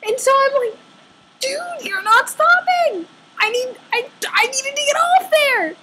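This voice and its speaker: Very high-pitched young woman telling stories. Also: unhurried, slight background noise, low-quality recording. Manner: animated, exaggerated retelling with quoted asides. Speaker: storytelling vlogger. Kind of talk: casual personal storytelling.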